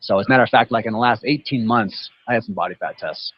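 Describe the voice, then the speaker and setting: A man's voice: fairly low pitch, quick pace, slight background noise. A man in his fifties answering a panel question casually, often adding "you know" and "right". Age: 50s. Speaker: athlete guest. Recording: dull dry audio.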